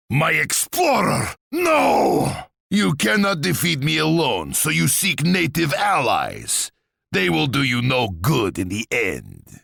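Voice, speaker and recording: An imperious, scheming tsar from a strategy game, full of menace and sardonic pomp. The voice is gravelly with a hard, forceful edge, and it swings wildly in pitch as he savours each taunt. The recording is close and dry. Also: dry recording, exaggerated voice. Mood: outraged. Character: scheming, imperious, wrathful Russian tsar, gloating warlord. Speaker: video game voice actor.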